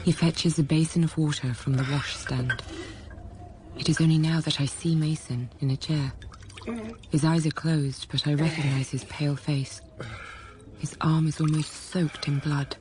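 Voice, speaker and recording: An actress playing a dutiful young governess in a period radio drama, speaking in a measured, restrained, formal way. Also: female voice, deep voice, steady tone, background noise, natural voice. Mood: hushed, tense. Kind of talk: period radio drama acting and first-person narration.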